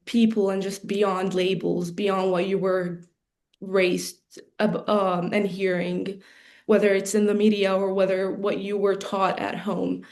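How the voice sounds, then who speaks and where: A woman in her twenties tells her story in an earnest, steady way, often pausing on ums and restarting her phrases. Her voice is mellow and soft-edged, sitting at a middle pitch. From Middle East. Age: 20s. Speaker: program alumna and college athlete. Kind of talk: prepared personal speech on a video call.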